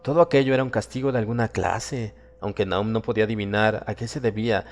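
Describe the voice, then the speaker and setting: Deep-voiced man narrating horror in cavernous reverb. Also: steady tone, fast talker. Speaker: audiobook narrator. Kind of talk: dramatic horror audiobook narration in Spanish. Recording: long reverb, music behind. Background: music.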